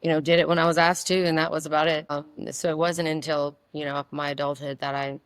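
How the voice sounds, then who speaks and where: Raspy woman, flat delivery. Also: low voice, quick pace, no echo. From South Louisiana. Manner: open, rambling, many fillers. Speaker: singer-songwriter guest. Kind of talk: casual interview answers.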